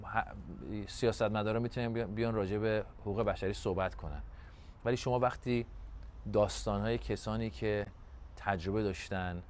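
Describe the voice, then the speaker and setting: A man's voice: lowish voice, fairly even intonation, a little hiss or hum. A man talking informally in an interview.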